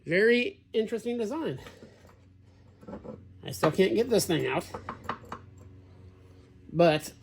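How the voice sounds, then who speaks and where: A slightly high-voiced man, stuffy and sniffly from a cold, talks his way through a repair in a machine shop. He muses aloud in a plain, easygoing way as he works. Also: lively intonation, slow pace, vocal fry, pauses often, background noise. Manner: thinking aloud, plain-spoken, musing. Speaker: machinist, channel host. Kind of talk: casual shop commentary while working.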